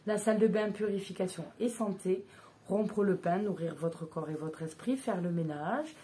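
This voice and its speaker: A woman's voice: mid-range voice, moderate pace, warm tone. A woman in her thirties chatting casually to camera as a vlogger, hesitant, with many filler sounds and restarts. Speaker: vlogger reviewing books.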